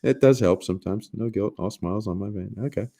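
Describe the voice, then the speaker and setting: A man with a raspy smoker's voice chats casually on a livestream, his tone low and gravelly with a creaky edge. He jokes with his viewers, stumbles over restarts and giggles between thoughts. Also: fast talker, mellow. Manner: joking, giggly, rambling with many restarts. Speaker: livestream host.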